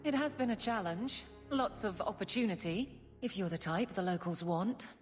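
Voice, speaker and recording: A woman's voice: mid-range voice, animated, normal speed, faint music behind, lightly acted. An actress voicing a blunt, loyal woman guard and former soldier in a video game, plain-spoken and dry. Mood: frank, weary. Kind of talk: video game character dialogue.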